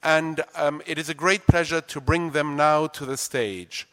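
Guy hosting a library talk, bright voice, echoey big hall. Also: fairly high pitch, steady tone, unhurried. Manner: effusive, formal with playful asides. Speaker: host. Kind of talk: stage introduction and reading. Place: large library event hall. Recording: reverberant auditorium.

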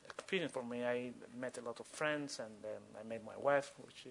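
A male author with a Cuban accent, a native Spanish speaker, talks off the cuff at a live event. He often repeats words and breaks off phrases, in a mid-pitched, creaky voice. Faint room noise sits behind him. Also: moderate pace, low-quality recording. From Cuba. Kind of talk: spontaneous answers at a live literary talk.

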